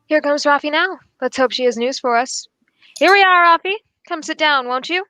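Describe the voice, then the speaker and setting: A high-pitched, shrewd gentleman detective of old radio drama, played by a woman. The English sleuth is unflappable, formal and quietly amused, choosing words with measured courtesy. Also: no echo. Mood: calm, confiding. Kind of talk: 1940s radio drama acting.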